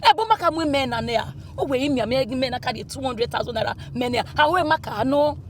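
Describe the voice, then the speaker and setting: A woman's voice: high-pitched, expressive, fast talker. A woman with a Nigerian accent playing an agitated, insistent character in a screen drama, repeating herself and slipping into Pidgin. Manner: insistent, repetitive, code-switching with Pidgin. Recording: noisy location sound.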